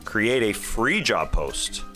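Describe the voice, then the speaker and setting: A man reading a podcast ad in a conversational way. His voice sits a bit low but has a bright, forward tone, over faint music. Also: very animated, takes it slowly. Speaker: podcast co-host reading sponsor ad. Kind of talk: conversational host-read ad.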